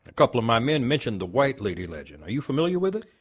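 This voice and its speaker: Husky, gruff police lieutenant. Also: male voice, mid-range voice, big pitch swings, very fast. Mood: businesslike, impatient. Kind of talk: audio drama acting.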